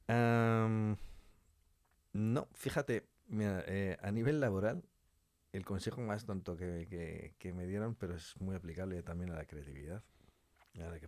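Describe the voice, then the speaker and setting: A man's voice: low voice, darkish tone, dry recording. A man from chatting informally as an interview guest in a studio, joking and repeating points for emphasis. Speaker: interview guest, audio fiction scriptwriter. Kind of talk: casual interview conversation.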